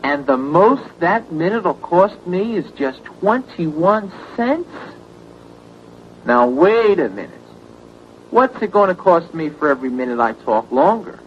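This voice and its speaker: A man's voice: sits high, very animated, a little slow. A man playing a disbelieving telephone customer in a vintage radio commercial, questioning a bargain. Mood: incredulous. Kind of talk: 1970s radio commercial acting. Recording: dull vintage radio ad, faint noise.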